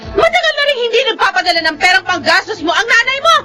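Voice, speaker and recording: An actress playing a cruel, greedy aunt who scolds and threatens in a Filipino radio drama. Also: female voice, extremely high pitch, big pitch swings, quick pace. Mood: scornful, menacing. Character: cruel, greedy aunt-guardian bullying a girl. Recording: music behind the voice.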